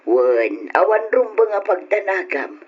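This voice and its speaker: A woman's voice: medium pitch, very animated, measured pace, husky, exaggerated voice. Elderly, tender grandmother, muffled recording. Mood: caring, reassuring. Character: elderly, caring grandmother and devoted mother. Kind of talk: radio drama acting. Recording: dull lo-fi radio recording.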